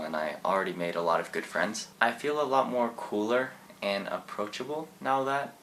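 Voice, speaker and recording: Teen boy vlogger from Japan, laid-back rambling storyteller, slightly low voice. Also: a bit bright, slight background noise. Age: teens. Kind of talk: casual storytelling.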